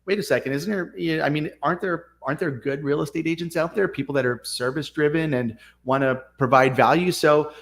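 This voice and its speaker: A man's voice: fairly high pitch, fairly quick, creaky, few pauses. Encouraging male interview host. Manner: encouraging, rambling questions with restarts. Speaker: host, realtor. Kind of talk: casual interview conversation.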